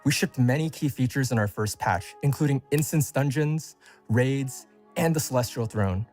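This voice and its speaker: A man's voice: mid-range voice, normal speed, a little mellow, soft background music. A man narrating a scripted retrospective as a game developer, speaking in prepared, complete sentences.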